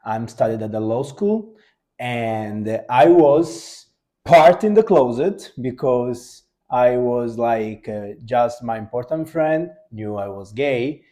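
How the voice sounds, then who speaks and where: A man's voice: medium pitch, animated, takes it slowly, dark tone. A young man from Sicily with an Italian accent, chatting casually as co-host and searching for his English words. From Sicily, Italy. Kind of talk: casual couple chat.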